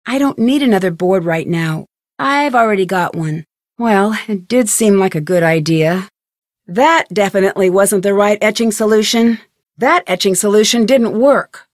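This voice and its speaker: A woman playing a young, curious and determined amateur detective in a video game, thinking aloud in clearly articulated scripted lines. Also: medium pitch, no echo, clean studio sound, natural voice.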